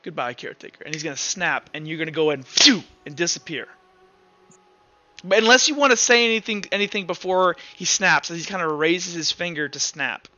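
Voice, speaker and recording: A man's voice: on the high side, lively intonation, a little fast, pushed and bright, no echo, muffled recording. A man improvising narration as a tabletop game master, informal and hesitant as he pieces the scene together.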